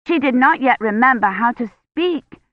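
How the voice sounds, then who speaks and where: A woman acts out a small storybook girl with a high, eager voice. She is trusting and insistent, speaking artlessly in old-fashioned phrases. The recording is dry and a little dull. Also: expressive, normal speed, no echo, natural voice. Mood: matter-of-fact, insistent. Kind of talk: audiobook character acting.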